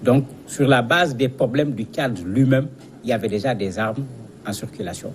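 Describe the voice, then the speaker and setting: A man's voice: medium pitch, normal speed, slight background noise. A man giving explanatory commentary as a guest analyst in a news interview, speaking didactically and repeating words as he searches for phrasing.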